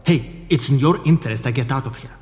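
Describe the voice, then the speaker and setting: An actor playing an anxious, earnest prison employee with a wary manner in an audio drama. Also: male voice, mid-range voice, fast, darkish tone, lightly acted. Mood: indignant, anxious. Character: anxious, guarded male prison employee secretly plotting escape. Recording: long reverberant hall.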